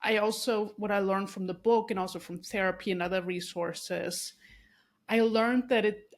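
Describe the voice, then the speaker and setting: Austrian-born woman hosting a podcast. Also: mid-range voice. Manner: warm, effusive, many restarts and fillers. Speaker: podcast host. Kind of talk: casual interview conversation.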